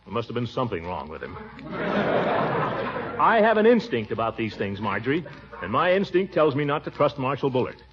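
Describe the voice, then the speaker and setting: A man's voice: mid-range voice, sing-song, fairly quick, pauses often, close to an everyday voice. A man playing a pompous, blustering middle-aged uncle in 1940s radio sitcom acting. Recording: dull 1940s radio broadcast with faint hiss.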